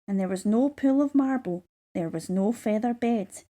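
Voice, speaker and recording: A woman narrates a rhyming children's picture book. Her voice sits on the high side with a soft, mellow tone, and she keeps a measured, rhythmic delivery. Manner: measured, rhythmic verse reading. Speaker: storybook reader. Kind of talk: children's story read-aloud.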